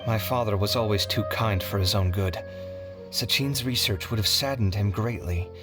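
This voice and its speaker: A man playing an earnest, soft-hearted young male scholar in a video game, speaking in a sorrowful, reflective way. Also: on the low side, normal speed, music in the background, close to an everyday voice. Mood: stunned, sad. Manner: reflective storytelling.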